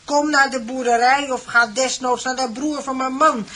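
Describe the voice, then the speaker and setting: A woman's voice: high-pitched, steady tone, normal speed, fairly bright tone. A woman playing an uneasy, superstitious farm landlady in a radio drama, giving hesitant, ominous warnings. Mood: grave warning.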